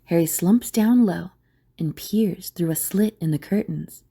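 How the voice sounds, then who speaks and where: A woman's voice: on the low side, measured pace, gravelly, mellow, husky. A woman reading scripted, dramatic story narration for an audio drama.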